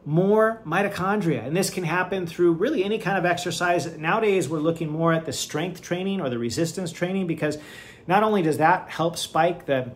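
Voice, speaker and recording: A middle-aged man with a fairly high-pitched voice hosts a casual health podcast. He talks in an enthusiastic, chatty way, often checking in with "right?". He moves around and lifts weights at his standing desk as he speaks. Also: brisk, slight background noise. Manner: moving around and lifting weights while talking. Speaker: podcast host, physician. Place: home office at a standing desk.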